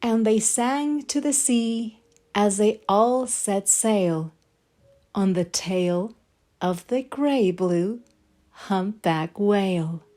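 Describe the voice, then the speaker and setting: A woman reading aloud to young children in an expressive, deliberate storytelling manner. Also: medium pitch. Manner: deliberate, addressing young listeners with questions. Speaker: storybook reader and language assistant. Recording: background music track. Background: instrumental music backing.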